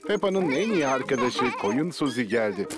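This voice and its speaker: A man narrating a preschool cartoon as a friendly storyteller, in simple, clear sentences. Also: mid-range voice, big pitch swings, normal speed, lightly acted. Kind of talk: children's cartoon scripted narration. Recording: prominent music behind the voice.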